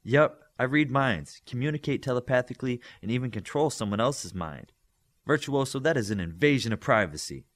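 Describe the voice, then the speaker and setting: A man voicing a bold, brisk, teasing teenage girl who reads minds, in an audiobook story. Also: medium pitch, lively intonation, lightly acted. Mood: confident.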